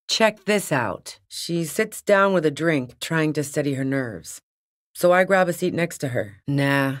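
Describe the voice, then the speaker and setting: A woman voicing a tough, wary fugitive in a video game, blunt, wry and defiant, with profane, slangy talk. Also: deep voice. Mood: cool, threatening, then weary recounting. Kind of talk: video game character dialogue.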